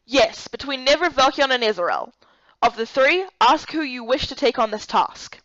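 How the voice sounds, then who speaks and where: A woman voicing a stern, irritated woman leader in a visual novel game, speaking with sharp, emphatic authority. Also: sits high, lively intonation, normal speed, dry recording, dull audio. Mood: commanding, impatient. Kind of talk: visual novel character voice-over.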